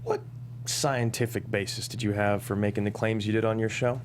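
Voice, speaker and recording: A man's voice: lowish voice, fairly even intonation, moderate pace, noisy background, lightly acted. A man at a script table read playing a smug courtroom attorney who puts pointed, skeptical questions. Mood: smug, pressing.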